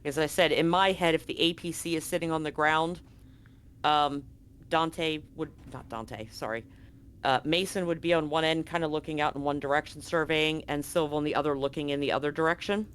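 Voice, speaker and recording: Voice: medium pitch, steady tone, a little fast, fairly bright tone, clean tone. A game master narrating a live-streamed tabletop roleplaying game in an informal, conversational way, thinking aloud.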